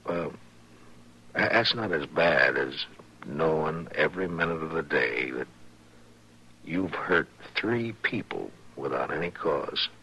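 An actor playing a patient, authoritative frontier marshal in a radio western, speaking plainly and in a measured way with an American accent. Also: male voice, extremely low pitch, expressive, creaky, bright, forceful tone, husky, exaggerated voice, low-quality recording. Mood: gentle, fatherly. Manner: measured, fatherly, plain-spoken. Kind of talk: old-time radio western acting.

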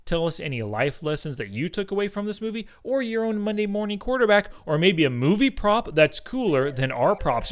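A man's voice: high voice, fairly bright tone. A man hosting a casual movie podcast, talking in a chatty, slangy, enthusiastic way. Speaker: podcast host.

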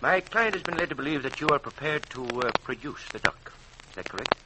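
A man's voice: medium pitch, lively intonation, a little fast, lightly acted. A man playing a polite, evasive gangsters' lawyer in an old-time radio drama, courteous and a little hesitant. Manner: courteous, hesitant, lilting questions. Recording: very noisy, crackly vintage radio recording.

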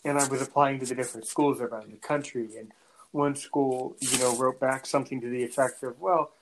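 A man from North America hosting a podcast interview, speaking in a halting way and often repeating words before finishing a thought. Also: mid-range voice, steady tone, noisy background. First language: English; French is his second language. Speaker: podcast host. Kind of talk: conversational interview.

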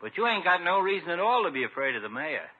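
Cocky folksy barber, slangy bad grammar, highish voice, old muffled radio sitcom. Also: male voice, sing-song, quick pace, husky, full character voice. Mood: friendly. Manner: slangy, ungrammatical, wisecracking. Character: cocky, folksy small-town barber, scrappy and loyal. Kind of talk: 1950s radio sitcom acting. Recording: muffled narrow-band 1950s radio recording.

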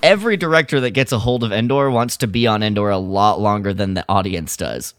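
A man chatting casually on a fan podcast, rambling through his thoughts in an animated way. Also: fairly low pitch, brisk, no room sound, studio quality. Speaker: podcast panelist. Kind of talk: casual film-fan chat.

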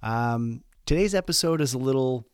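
A man with a cold hosting a solo podcast, his voice a little squeaky and stuffed up, talking in a relaxed, rambling way with many fillers. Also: normal speed, smooth, dry recording, clean studio sound. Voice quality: slightly squeaky voice. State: congested from a cold. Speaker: podcast host.

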